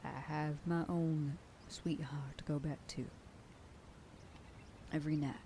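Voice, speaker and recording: A woman's voice: deep voice, steady tone, a little slow, warm tone, a little hiss or hum. A woman voicing a bossy female werewolf sheriff who lectures her pack in an ASMR roleplay, sometimes dropping to a whisper. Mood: stern briefing. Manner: stern, commanding, lectures a group. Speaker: voice actor.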